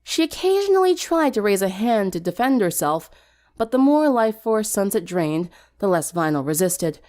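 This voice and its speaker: A woman's voice: mid-range voice, very animated, normal speed, a little mellow. A woman reading a scripted story aloud as a dramatic narrator. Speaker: fan fiction narrator.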